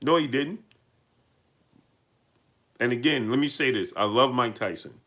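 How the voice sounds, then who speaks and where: A man's voice: medium pitch, measured pace, a bit bright. A man with a New York accent hosting a live stream, greeting viewers and reading out their super chats. He talks emphatically, repeats himself and builds to heated peaks. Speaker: livestream host.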